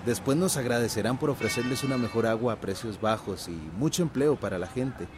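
A man's voice: mid-range voice, a little fast, faint music behind, close to an everyday voice. An actor playing a smug, deferential construction manager in a radio drama, reassuring his boss. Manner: reassuring, obsequious.

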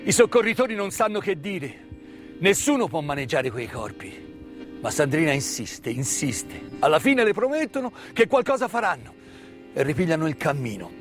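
A man delivers a theatrical narrative monologue as a stage storyteller, solemn and dramatic, with repeated words and weighty pauses. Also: fairly high pitch, sing-song, soft background music. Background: live musical accompaniment.

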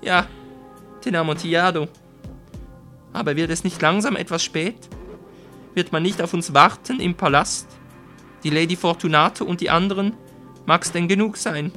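A trapped nobleman in a gothic storybook tale. His voice is pitched high and loud, strained with false cheer and desperate pleading. Music plays behind him. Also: normal speed, long pauses. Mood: strained coaxing. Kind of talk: dramatic audiobook character voice.

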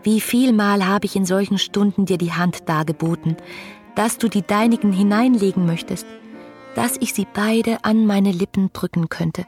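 A woman's voice: mid-range voice, darkish tone, natural voice. An actress playing a passionate, lonely young woman in a literary radio drama, intimate and poetic, often sinking into whispers. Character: passionate, adoring, lonely young woman writing love letters.

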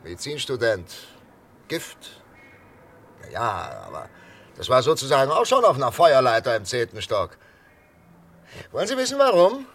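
A man playing a calm, coaxing psychiatrist in a 1960s German radio thriller, talking in a hesitant, conversational way. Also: medium pitch, moderate pace, pauses often, heavily acted. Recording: 1963 radio recording, faint noise.

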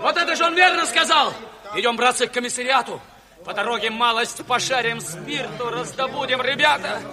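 A man's voice: very high voice, brisk. An actor playing a rowdy, drunken soldier rabble-rouser, wheedling his mates in a sing-song drawl, in 1970s Soviet radio drama style. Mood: rousing, defiant. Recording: reverberant hall, crowd and music behind.

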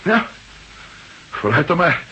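An actor playing a bewildered, hesitant husband with lost memory, stammering and gruffly polite in a Dutch radio drama. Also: male voice, a little high, sing-song, slow, pushed and bright, muffled recording, exaggerated voice. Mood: uncertain. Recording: faintly hissy old radio recording.

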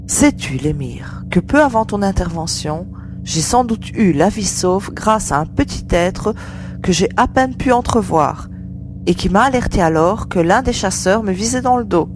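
A woman's voice: mid-range voice, expressive, moderate pace, over music, close to an everyday voice. A woman playing an earnest young female adventurer who cares about her comrades, in an audio serial. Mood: relieved, thoughtful. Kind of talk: acted dialogue in an amateur audio serial. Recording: clear hum and background noise.

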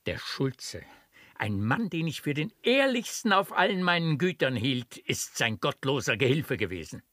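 An actor in a German radio play playing a grateful, effusive baron with a courtly, emphatic manner. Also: male voice, mid-range voice, very animated, normal speed, a bit bright, dry recording, studio quality.

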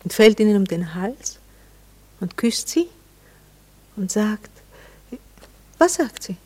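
Husky wistful, well-bred society lady. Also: mid-range voice, big pitch swings, takes it slowly, darkish tone. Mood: probing, curious. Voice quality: husky, breathy. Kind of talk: intimate radio play dialogue.

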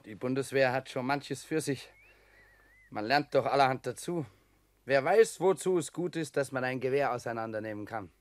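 An actor playing a young soldier, casual and noncommittal, in a radio drama. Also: male voice, mid-range voice, normal speed, dry recording, lightly acted. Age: young adult.

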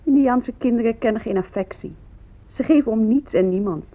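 Actress as judgmental prim woman, high voice, disdainful, radio drama, thin narrowband recording. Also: moderate pace, natural voice. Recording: tinny phone-like old radio recording.

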